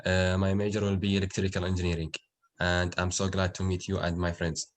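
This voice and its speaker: A man's voice: deep voice, little pitch movement, fairly quick. A man from Saudi Arabia politely introducing himself as an incoming student.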